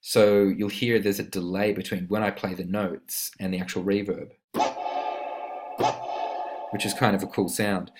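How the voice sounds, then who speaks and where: A man giving an informal, step-by-step screen-recorded tutorial on music production software, talking as an instructor. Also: low-pitched, little pitch movement, measured pace, pauses often. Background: synth instrument notes played during the demonstration.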